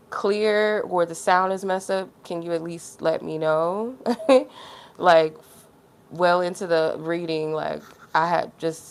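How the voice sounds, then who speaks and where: A woman's voice: lowish voice, creaky. A woman with a stuffy nose, feeling unwell, chatting casually as a tarot reader on a livestream, rambling with lots of filler words. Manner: rambling, heavy use of "like" fillers.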